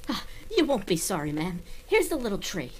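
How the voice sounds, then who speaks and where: A woman's voice: mid-range voice, very animated, fairly quick, a little hiss or hum, close to an everyday voice. A woman playing a cheeky young boy with glib sales patter in an old-time radio comedy. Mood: eager, wheedling. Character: cheeky young boy salesman, fast-talking little urchin. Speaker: actor in a radio play recreation.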